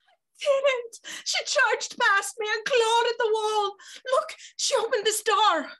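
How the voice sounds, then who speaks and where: An actress playing a frightened young niece in an audio drama, pleading tearfully and insistently. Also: female voice, very high voice, slow, bright, forceful tone, a little hiss or hum, full character voice. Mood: tearful fright.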